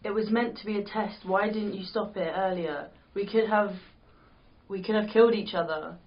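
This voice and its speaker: A woman's voice: mid-range voice, fairly even intonation, moderate pace, lightly acted. A woman playing a bitter, defiant fighter in an amateur sci-fi drama read, speaking bluntly and confrontationally. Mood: accusing. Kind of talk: amateur script table read. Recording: dull lo-fi recording.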